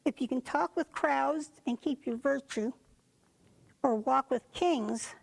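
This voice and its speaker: A woman reciting a poem for a reader's theater in deliberate phrases set apart by pauses. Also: high-pitched, gravelly, rough recording. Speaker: poetry reader.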